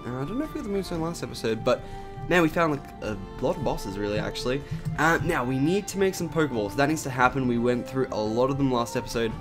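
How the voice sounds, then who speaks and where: Young Australian guy commentating a game, raspy morning voice, rambling and chatty, faint guitar music behind. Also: mid-range voice. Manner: chatty, rambling with many "like" and "um" fillers. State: raspy from just waking up. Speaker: gaming YouTuber. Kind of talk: casual gameplay commentary.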